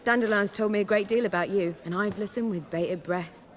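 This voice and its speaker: A woman playing a poised, flattering young bard in a fantasy game, articulate and courteous. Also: medium pitch, a little fast, close to an everyday voice. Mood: charmed, courteous. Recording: faint ambient noise.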